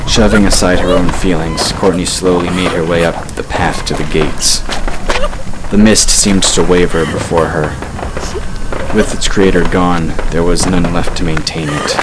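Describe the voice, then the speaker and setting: A man's voice: low-pitched, steady tone, measured pace, very noisy. A man reading scripted fantasy narration in a dramatic storytelling style, as an audiobook narrator. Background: ambient sound effects.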